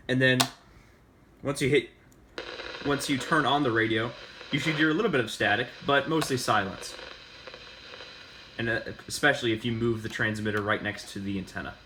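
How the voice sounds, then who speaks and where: American man giving a halting casual tutorial. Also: lowish voice, pushed and bright. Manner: halting, repeats and restarts phrases. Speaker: tutorial host. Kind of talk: casual hands-on how-to demonstration. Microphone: phone camera recording the demonstration.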